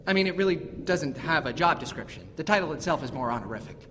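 A man's voice: fairly high pitch, expressive, quick pace, fairly bright tone. A man playing an amiable, awkward king of the underworld in a comic myth drama, earnest, wry and apologetic. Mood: defensive, wry. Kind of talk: comic mythological stage drama acting. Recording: reverberant hall, background room noise.

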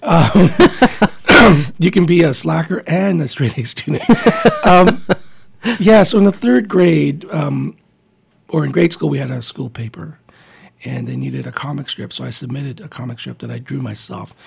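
A man's voice: fairly high pitch, measured pace, vocal fry. A man around forty, born in the Philippines and raised in Montreal, talking as a guest in a radio studio interview, friendly and hesitant with many ums. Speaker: guest, comic book writer.

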